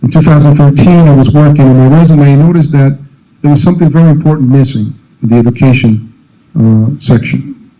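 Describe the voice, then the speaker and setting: A middle-aged man from the Dominican Republic, a native Spanish speaker, giving a prepared speech at a college convocation, earnest, with frequent pauses and fillers. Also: mid-range voice, mellow, rough recording. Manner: many uh and um fillers, measured and earnest. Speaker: alumni speaker.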